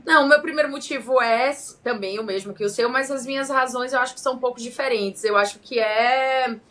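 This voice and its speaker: A woman's voice: sits high, fairly quick. Chatty Bahian woman co-host. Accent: Bahian Brazilian Portuguese. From Salvador, Bahia, Brazil. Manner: lively, chatty, teasing. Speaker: podcast co-host, journalist and presenter. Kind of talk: casual chat. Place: home studio, remote recording.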